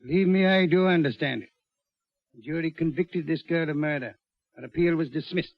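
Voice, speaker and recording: Actor as earnest stout gentleman, highish voice. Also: fairly quick, close to an everyday voice. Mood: somber. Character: big stout British gentleman, compassionate, earnest, persuasive. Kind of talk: 1940s radio acting. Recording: dull vintage radio recording.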